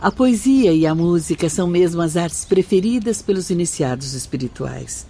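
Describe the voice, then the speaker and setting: A gentle spirit sister. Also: female voice, on the low side, expressive, normal speed, husky. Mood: serene. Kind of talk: radio drama acting. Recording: radio drama with music underneath.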